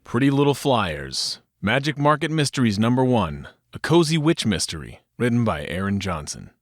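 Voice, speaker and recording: A man's voice: medium pitch, very animated, moderate pace, no echo. A man reading scripted dramatic titles as the announcer of an audio drama.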